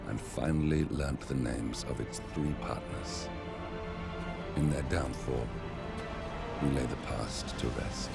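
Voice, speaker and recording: A man playing a grim, weary operative bent on revenge in a video game cutscene, speaking deliberately with a gravelly voice. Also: rumbling low, full character voice. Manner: slow, deliberate delivery. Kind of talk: cinematic video game acting. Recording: loud music behind the voice. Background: loud cinematic music.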